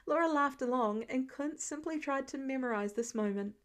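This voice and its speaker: A woman reading fiction aloud as an expressive, scripted story narration. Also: sits high, normal speed. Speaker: audiobook-style fan fiction reader.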